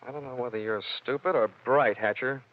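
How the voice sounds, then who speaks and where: An old, muffled radio recording carries an actor as a tough American male private investigator. He talks in a clipped, wisecracking way at a medium pitch. The style is hard-boiled 1940s radio drama, dry and sardonic. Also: fairly quick, lightly acted. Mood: pressing, hard.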